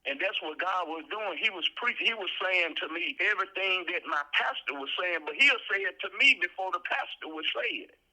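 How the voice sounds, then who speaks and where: A man's voice: sits high, expressive, a little fast, hardly any pauses, sharp tone, rough recording. A man from the Memphis area, telling his personal testimony as a guest, earnestly and with frequent repeated words as he gathers his thoughts. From Memphis area, southern United States.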